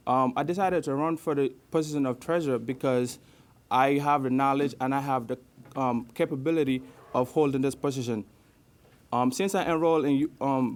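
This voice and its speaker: A young man student giving an earnest campaign speech, pausing on fillers as he goes. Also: mid-range voice. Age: young adult. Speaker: student election candidate.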